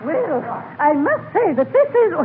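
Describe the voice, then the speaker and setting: An actress playing a haughty, wealthy society matron with an affectedly refined manner, in 1940s radio comedy style. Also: female voice, very high-pitched, very animated, measured pace, full character voice. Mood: haughty, gushing. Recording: tinny, noisy 1940s radio broadcast.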